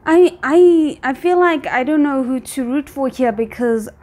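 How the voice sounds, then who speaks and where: A woman with a fairly high voice reacts aloud to something she is watching. Her commentary is casual and unscripted, dropping into muttered, wry asides. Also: animated, a little slow, mellow. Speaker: reaction video host.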